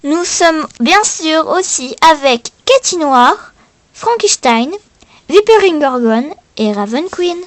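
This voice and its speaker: A woman's voice: sits high, expressive, takes it slowly. A female presenter hosting an amateur talent show, with somewhat hesitant announcing. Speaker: host of a pretend singing contest. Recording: noisy homemade recording with clicks.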